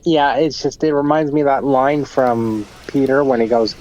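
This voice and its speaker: A man's voice: mid-range voice, normal speed, darkish tone, highs cut off. A man co-hosting a livestream, chatting casually and hesitantly, often laughing. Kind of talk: casual chat.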